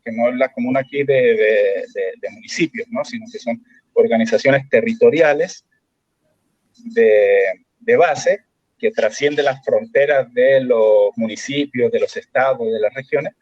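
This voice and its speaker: A man's voice: mid-range voice, darkish tone, husky, dry recording, muffled recording. A Chilean man speaking as a guest in a political discussion, thinking aloud with many hesitations and restarts over a video call. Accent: Chilean Spanish. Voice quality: halting, many fillers and restarts.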